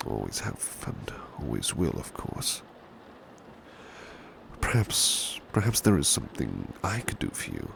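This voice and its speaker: Very deep, gravelly British butler played by a man. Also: huge pitch swings, measured pace, full character voice. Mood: soothing, reassuring. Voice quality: very deep, gravelly, breathy whispery edge. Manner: gentle, courteous, old-fashioned formal phrasing. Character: devoted, gentle gentleman butler, protective and courteous. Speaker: ASMR roleplay performer. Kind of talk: soft-spoken ASMR roleplay, drifting into whispers. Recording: clear background noise, ambient rain sound. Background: rain ambience.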